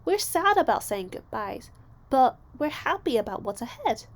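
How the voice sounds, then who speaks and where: A woman voicing a kind, patient, encouraging schoolteacher in a storybook, gentle and deliberate in her reassurance. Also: high voice, big pitch swings, pitched above the performer's own voice. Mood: reassuring.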